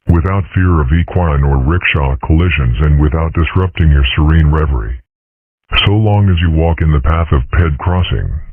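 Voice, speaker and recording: A man voicing a placid, philosophical cartoon father, husky, measured and deadpan, in an amateur comic dub. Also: a bass voice, no room sound, exaggerated voice. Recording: muffled, radio-like lo-fi audio.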